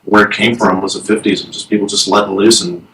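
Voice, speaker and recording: A man in his thirties, a band guest in a casual interview, talking slowly and hesitantly with many fillers. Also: lowish voice, fairly even intonation, sharp tone. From Texas. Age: 30s. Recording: echoey room, distant from the mic.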